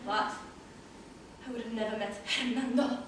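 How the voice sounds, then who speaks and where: A woman's voice: fairly high pitch, fairly even intonation, normal speed, heavy background noise, lightly acted. A woman playing an eccentric, teasing old aunt in a comic amateur stage play. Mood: gleeful reminiscing. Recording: echoey stage, distant mic.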